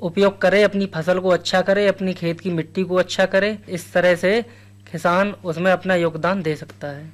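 Man in his 20s, farmer, high voice, flat and steady. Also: moderate pace. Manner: respectful, steady answers. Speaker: farmer guest.

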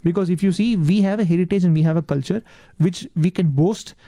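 A man's voice: sits high, a little fast, warm tone, dry recording. A man with an Indian accent, a native Tamil speaker, giving earnest interview answers and often saying "yes" and "ma'am". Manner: earnest, repeats words, addresses host as ma'am. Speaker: guest.

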